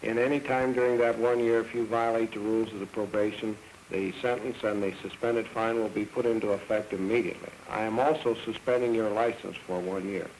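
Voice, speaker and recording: A man's voice: on the low side, fairly even intonation, fairly quick, few pauses, darkish tone, low-quality recording. A man speaking as a judge in a stern, official, formal courtroom manner. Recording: vintage film sound, faint noise.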